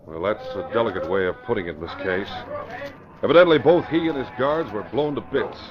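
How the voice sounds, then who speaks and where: An actor playing a grave, guarded middle-aged police commissioner in an old-time radio crime drama, speaking with hesitant weight. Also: male voice, on the low side, fairly quick, lightly acted. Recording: muffled old radio recording.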